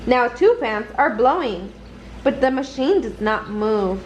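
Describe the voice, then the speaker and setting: Woman, higher-pitched voice, unhurried expressive bedtime-story reading, clear background noise. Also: highs cut off. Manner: deliberate, expressive storytelling for young children. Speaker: storybook reader. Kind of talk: children's picture book read-aloud.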